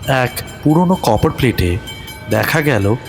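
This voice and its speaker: A man narrating a horror thriller audio story in a dramatic, suspenseful way with deliberate pauses. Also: medium pitch, animated, creaky, over music.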